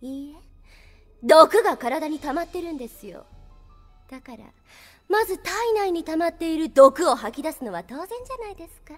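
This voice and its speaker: A very high, childlike girl's voice, speaks with gentle politeness and an unsettling calm. She is an obsessive, deranged anime little-sister type from an audio drama, heard over prominent music. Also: normal speed. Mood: sweetly menacing.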